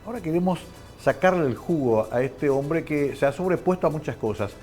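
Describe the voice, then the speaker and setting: A male television host with a Paraguayan accent asks questions in a conversational way. His voice is a little higher than most men's. There is light music behind him. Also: animated, fairly quick, a little mellow. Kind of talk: conversational TV interview.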